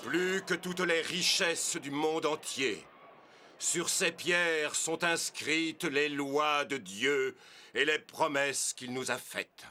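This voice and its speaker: A man playing a solemn, devout leader of his people in a French cartoon film, speaking in a measured, declamatory way. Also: on the high side, sharp tone, slight background noise. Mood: reverent, proud. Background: orchestral music.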